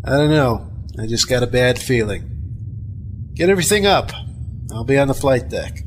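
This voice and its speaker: A man's voice: mid-range voice, lively intonation, normal speed, slight background noise, lightly acted. A man playing a wry spaceship pilot in a science fiction audio drama, with informal talk and clipped commands. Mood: uneasy, wry. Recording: loud music behind the voice.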